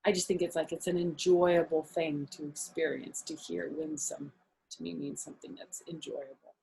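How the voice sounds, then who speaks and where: A woman's voice: low voice, little pitch movement, normal speed, creaky, mellow, a little hiss or hum, low-quality recording. An older woman reading a children's picture book aloud as a grandmotherly storyteller, expressively, with simple explanatory asides to young listeners.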